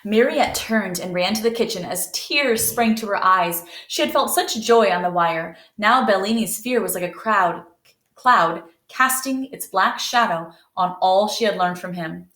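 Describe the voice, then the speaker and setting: A woman's voice: mid-range voice, unhurried, a bit bright. A woman reading a children's story aloud, narrating expressively. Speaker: storybook reader. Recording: roomy indoor echo.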